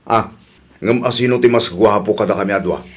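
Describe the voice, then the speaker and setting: A man playing a lovelorn, jealous young ex-boyfriend in an Ilocano Filipino radio comedy, with a husky voice. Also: lowish voice, brisk. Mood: curious, insecure. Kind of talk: comedy radio drama acting.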